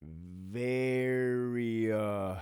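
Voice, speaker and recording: A man's voice: lowish voice, drawn-out words, darkish tone, clean tone, no echo, studio quality. A man hosting his own channel, a former prison officer talking in a brash, profane, streetwise way and laughing often. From California. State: losing his voice. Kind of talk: casual profane monologue, reading supporter names.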